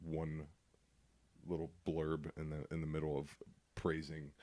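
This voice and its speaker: Deep-voiced man hosting a podcast. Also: completely flat delivery, measured pace, warm tone. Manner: loose, joking, crude banter. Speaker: podcast host. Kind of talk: casual drinking chat.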